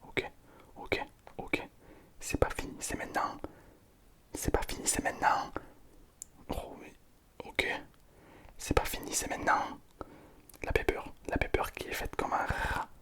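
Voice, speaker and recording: Casual gaming host, whispered breathy delivery, playful mock-boastful commentary with repeated phrases. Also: measured pace, long pauses, a bit bright.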